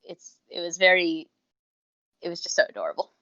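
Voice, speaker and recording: Female podcast co-host, lowish register, chatty and rambling, dry and slightly dull recording. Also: sing-song, normal speed, pushed and bright, no echo. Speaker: podcast co-host reviewing audios. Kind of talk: casual review chat.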